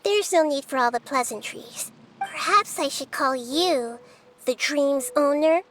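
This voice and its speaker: A woman voicing a small, girlish goddess who is calm and wise, speaking in a measured, reasoning way in a video game. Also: high voice, noisy background.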